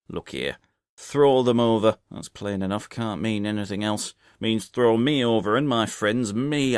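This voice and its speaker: A man voicing a blunt, hot-tempered Victorian pub landlord in an audiobook, with gruff, colloquial speech. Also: fairly low pitch, no room sound, clean studio sound, natural voice.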